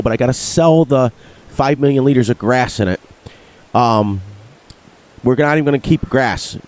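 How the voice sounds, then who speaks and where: A man commentating his farming game with upbeat, bouncy energy, repeating words in a sing-song way. His voice sounds slightly nasal from allergies. The tone is mellow and warm, at a middle pitch. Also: poor audio. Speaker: gaming channel host. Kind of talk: narrated gameplay commentary.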